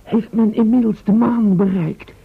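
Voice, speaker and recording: A man's voice: high-pitched, steady tone, a little slow, creaky, breathy edge, exaggerated voice. A man playing a naive, polite and inquisitive character in a comic radio play, eager and a little fussy. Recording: tinny, noisy old radio recording.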